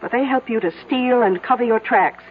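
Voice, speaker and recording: A woman's voice: a little high, fairly quick, slight background noise, lightly acted. An actress playing a bold, sly small-town American woman, politely accusing, in a radio drama. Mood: coolly accusing. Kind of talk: 1970s radio drama acting. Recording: old tinny narrow-band radio, faint music.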